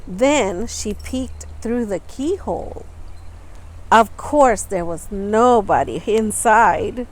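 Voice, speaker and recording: A woman reading a children's picture book aloud, expressively and deliberately, stressing words for young listeners. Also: medium pitch, animated, rough recording. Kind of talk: children's storybook read-aloud with asides about the pictures.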